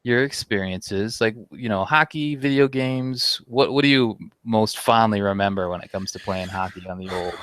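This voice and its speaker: Smooth low-voiced man hosting. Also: moderate pace, hardly any pauses, fairly bright tone. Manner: leads the talk, asks questions. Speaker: podcast co-host. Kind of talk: casual chat.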